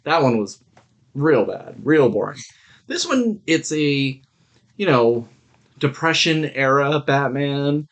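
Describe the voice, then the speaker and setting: A man's voice: mid-range voice, big pitch swings, slow pace. Casual man chatting as podcast co-host. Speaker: podcast co-host reviewing movies and shows. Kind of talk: casual chat.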